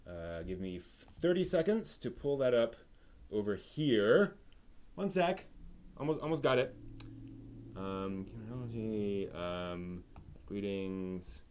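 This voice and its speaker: A man hosting a live stream in a casual, improvised way, thinking aloud with halting phrasing. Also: on the low side, a little mellow.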